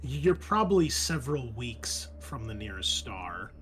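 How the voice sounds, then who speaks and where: A man explaining a tabletop roleplaying scenario as game master, speaking in a hesitant, halting way. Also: mid-range voice, very animated, fairly bright tone, rough recording. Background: background music.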